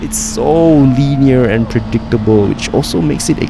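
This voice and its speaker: A man's voice: mid-range voice, normal speed, vocal fry, noisy background. A man with a Malaysian English accent reading a scripted, enthusiastic motorcycle review voice-over. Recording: voice-over over music.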